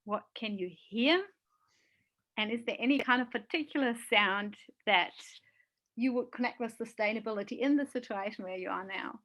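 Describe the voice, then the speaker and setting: A woman's voice: on the high side, moderate pace, fairly bright tone. A woman hosting a webinar, asking questions thoughtfully and searching for words as she goes. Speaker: webinar host. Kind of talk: casual webinar conversation.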